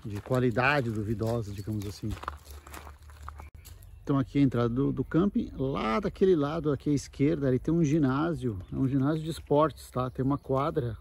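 A man's voice: mid-range voice, fairly quick, gravelly, a little mellow, breathy edge. A man giving a casual, explanatory walking tour as a camping vlogger, speaking conversationally into a handheld mic. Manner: explanatory, conversational with frequent check-in tags. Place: outdoors at a wooded campground. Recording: noisy outdoor handheld recording.

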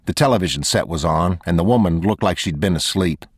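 Male audiobook narrator, very low-pitched, measured and unhurried reading. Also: husky, no room sound.

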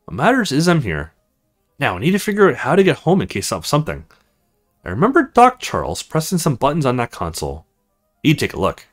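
Casting: male let's play host, casual and theatrical, highly animated pitch, faint music underneath. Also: a little fast. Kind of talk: casual game commentary and read-aloud of visual novel.

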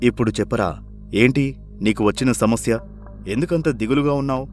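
A firm older village patriarch, acted by a man, speaks with authority and care in a cartoon moral tale. He instructs and scolds at a middling pitch. Light music plays behind him. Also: normal speed, close to an everyday voice. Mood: concerned, firm. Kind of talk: animated moral-story voice acting.

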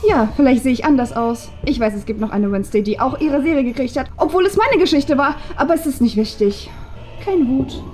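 A young woman playing a gloomy, emotionless teenage girl in a scripted parody, flat and disdainful. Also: high voice, a little fast, echoey room, faint music behind. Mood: deadpan. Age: young adult. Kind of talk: scripted web parody acting.

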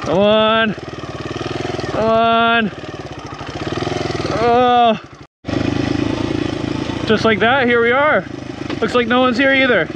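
A young man in his twenties riding a dirt bike through snowy woods, talking excitedly to the camera and cheering himself on. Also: very high-pitched, very slow, pauses often. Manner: hyped, exclaiming, cheering himself on. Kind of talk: excited ride commentary to camera. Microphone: helmet or action camera, then selfie camera at arm's length. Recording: loud engine and wind noise. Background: motorcycle engine.

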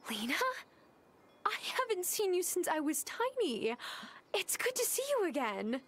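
A woman's voice: very high voice, big pitch swings, unhurried, exaggerated voice. An actor playing a friendly, earnest young woman in a cozy video game, polite and eager to help. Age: young adult.

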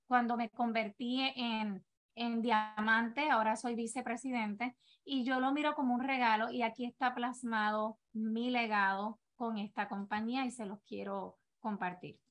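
A woman with a distinctly high voice and a Puerto Rican accent speaks as a sales team leader on a video call. She presents in an earnest, motivational way, encouraging her listeners. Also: steady tone, a little slow, fairly bright tone. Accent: Puerto Rican Spanish. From Puerto Rico. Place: home office, indoors.

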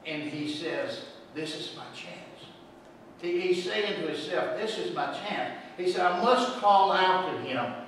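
A middle-aged man preaching a sermon in a church sanctuary, telling a story and swinging between hushed and loud delivery. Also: sits high, unhurried, a little hiss or hum. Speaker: pastor. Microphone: room microphone, far from camera. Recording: roomy echo, distant mic.

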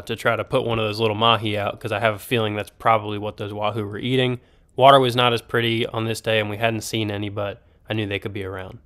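A young man fishing on a boat, calling out excitedly as the fish bite, with stretches of relaxed narration about the trip. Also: fairly low pitch, clear, resonant tone, no echo. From United States. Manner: excited, quick exclamations while fishing. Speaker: fishing vlogger. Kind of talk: casual fishing commentary and voice-over. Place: out on a boat on the open water. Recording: wind noise on an outdoor boat mic.

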